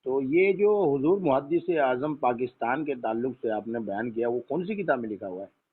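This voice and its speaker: A man's voice: mid-range voice, animated, normal speed, slightly rough. A man on a phone call, questioning and urging in an insistent, argumentative but respectful way. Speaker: caller. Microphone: telephone. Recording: narrow, tinny phone call.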